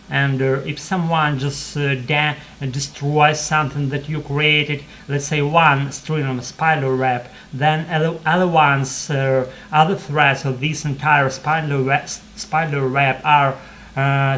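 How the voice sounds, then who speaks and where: Halting non-native man, flat delivery. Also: mid-range voice, slight background noise. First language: Russian. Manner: halting, many "uh" fillers and restarts. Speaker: vlogger practicing English. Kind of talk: rambling spoken English practice monologue. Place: sitting in an armchair at home.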